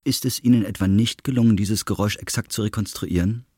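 A man's voice: lowish voice, fast, warm tone, breathy edge, dry recording, studio quality, voice pushed up. A man playing a haughty, fussy expert who speaks in a formal, condescending way in an audio drama.

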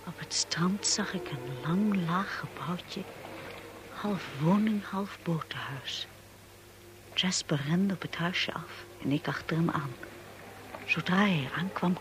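An actress playing a timid, anxious young wife in a Dutch radio drama, hesitant and devoted, also narrating softly in the first person. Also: female voice, on the low side, unhurried, close to an everyday voice. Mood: hushed, reflective narration. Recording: noisy old radio play recording.

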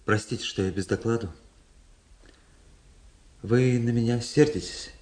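An actor playing a guarded young gentleman suitor in a radio drama, speaking in a restrained, subdued and earnest way. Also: medium pitch, steady tone, a little slow, lightly acted. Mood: concerned. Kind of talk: Russian radio drama acting. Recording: roomy studio echo, faint music.

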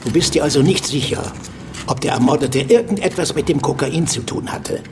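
An actor playing a gruff, bossy police major in a radio drama, blunt, stern and commanding. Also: male voice, a little high, fairly quick, noisy background, close to an everyday voice. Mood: stern, confidential.

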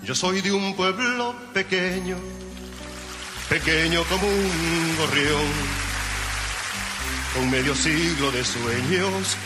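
A man singing a folk song slowly and melodically, played from a record. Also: a little high. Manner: slow, melodic singing over guitar. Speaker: singer. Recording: song played over loud music.

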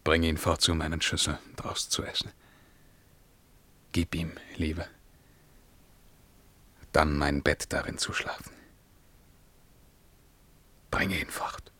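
A male actor playing a brooding, lyrical emperor in a radio play, reciting verse solemnly and swinging between hushed murmurs and ringing outbursts. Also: rumbling low, level tone, slow pace, long pauses, whispered, exaggerated voice. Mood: weary, hushed command.

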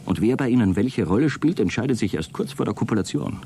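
Radio drama role: distrustful, self-important male astronaut keeping a log, low creaky delivery, rumbling noisy backdrop. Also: lively intonation, fast, dark tone. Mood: defiant, indignant. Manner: pompous, indignant, wordy. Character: suspicious, aggrieved male space traveller dictating a log. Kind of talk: radio drama monologue, intimate log entry.

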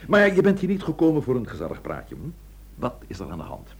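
An actor in a Dutch radio drama playing a sceptical, wry police inspector who hums and probes with questions. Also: male voice, mid-range voice, huge pitch swings, fast, husky, heavily acted. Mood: wry, guarded. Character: gruff, sceptical police inspector, career-minded former suitor.

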